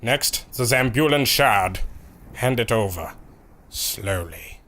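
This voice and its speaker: A man playing a menacing villain commander who gloats over his captives with cold, calm threats. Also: mid-range voice, slow pace. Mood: gloating. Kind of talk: radio play acting.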